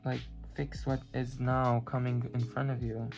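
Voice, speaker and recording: A card reader who is not a native English speaker, giving a spiritual pick-a-card reading and often pausing to search for words. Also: mid-range voice, level tone, lo-fi sound. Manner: halting, many fillers, searching for words. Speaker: tarot and oracle card reader. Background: clear background music.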